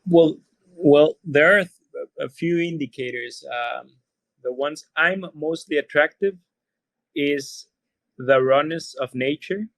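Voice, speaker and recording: A man's voice: on the high side, takes it slowly, a bit bright, no room sound, poor audio. A man from Costa Rica with a Spanish accent, answering interview questions thoughtfully and often repeating words as he searches for phrasing. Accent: Costa Rican Spanish. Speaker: podcast guest, hotelier.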